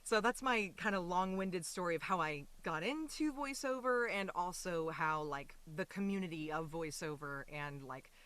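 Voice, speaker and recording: A woman's voice: on the low side, lively intonation, normal speed, hardly any pauses, fairly bright tone. A woman talking casually and animatedly as an interview guest on a podcast, telling her own stories.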